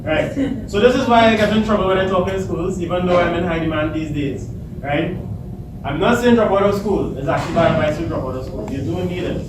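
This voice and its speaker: A Trinidadian man with a big, loud voice, speaking to students at a workshop without a microphone and asking the audience questions. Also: sits high, brisk. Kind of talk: motivational talk to students. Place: large echoey hall, no microphone. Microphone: far camera. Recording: echoey hall, distant camera, audible noise.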